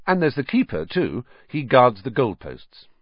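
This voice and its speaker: A man voicing a tired, patient teenage schoolboy who explains things in a flat, resigned way. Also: mid-range voice, unhurried, slightly rough, dry recording, voice pushed up. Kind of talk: audiobook character voice. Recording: dull, dry lo-fi audio.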